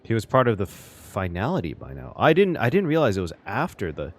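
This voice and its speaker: A man giving excitable live reaction commentary as a streamer, exclaiming and thinking out loud. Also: fairly low pitch, brisk. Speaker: streamer reacting to a game story. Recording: game music behind. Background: game soundtrack and dialogue.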